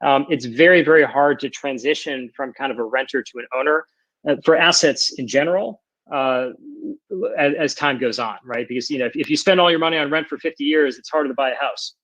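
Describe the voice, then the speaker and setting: A man's voice: medium pitch, fairly quick, a bit bright, no echo, rough recording. Earnest explaining man, dry close sound. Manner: earnest, explanatory, repeats words as he thinks. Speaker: panel guest. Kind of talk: panel discussion on an audio chat app.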